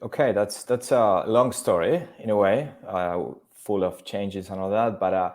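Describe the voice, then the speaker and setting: A man's voice: on the low side, unhurried, darkish tone. A man from Argentina, speaking as a podcast guest in a thoughtful, casual way with frequent fillers. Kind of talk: casual interview talk.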